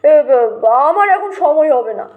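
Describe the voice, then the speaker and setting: A woman's voice: high voice, lively intonation, slow pace, phone-quality sound. A woman voicing a vain, grumpy male poet who boasts and puts on airs in a comic audio story. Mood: huffy.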